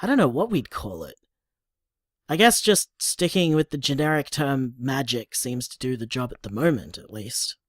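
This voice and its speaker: A woman with an Australian accent playing a blunt, sweary young partner, talking in an animated, wry way. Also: rumbling low, moderate pace, no room sound, clean studio sound. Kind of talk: audio drama, voice-message monologue.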